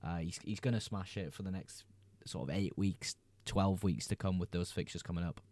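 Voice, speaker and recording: A young Englishman with a lowish, mellow voice chats through viewer questions on a livestream. He reads each message aloud, then answers in a relaxed, easygoing way. The sound is dry and close, with no room around it. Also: normal speed, no echo. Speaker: livestream host. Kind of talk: casual livestream chat answering viewer comments.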